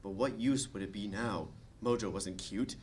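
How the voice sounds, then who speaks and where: A man's voice: fairly low pitch, moderate pace, darkish tone, a little hiss or hum. A man reading stage directions and narration aloud as the narrator of a comedy script table read.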